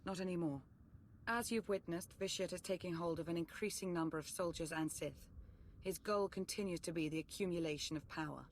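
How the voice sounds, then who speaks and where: A woman's voice: fairly low pitch, brisk, a little hiss or hum, lightly acted. A woman playing a composed, wary Sith intelligence minister in a video game. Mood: grave, guarded.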